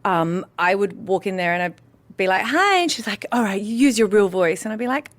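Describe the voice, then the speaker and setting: A woman in candid conversation, telling stories in an animated way and acting out remembered dialogue. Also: medium pitch, brisk.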